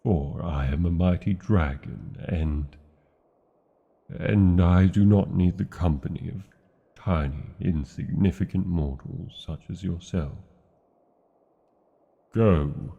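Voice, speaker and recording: An ancient British dragon for a fantasy romance roleplay. The voice is very low and gravelly, and it moves extremely slowly through grand, old-fashioned phrases with lingering pauses. The creature is huge and proud, yet lovesick. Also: male voice, narrow, tinny audio, full character voice. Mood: commanding, stern. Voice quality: gravelly, husky. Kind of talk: romantic fantasy ASMR roleplay, slow theatrical delivery.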